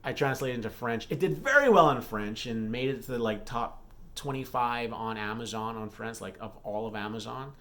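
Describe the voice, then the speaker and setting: A man's voice: mid-range voice, animated, moderate pace, fairly bright tone. A man talking casually and unscripted as an author vlogging, thinking aloud with repeats and self-corrections. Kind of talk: casual unscripted vlog talk.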